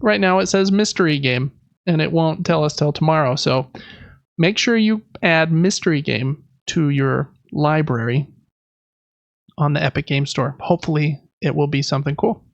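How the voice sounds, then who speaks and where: Guy podcast host chatting thru game news, gravelly fry, slightly muffled dry recording. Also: on the high side, normal speed, dry recording. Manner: chatty, informal, reading through lists with asides. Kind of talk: casual gaming podcast news rundown.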